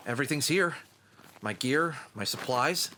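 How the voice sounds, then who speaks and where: A man playing an earnest, cocky young fox adventurer in an expressive audio drama style. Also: mid-range voice, unhurried, slight background noise. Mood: puzzled. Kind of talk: audio drama cartoon acting.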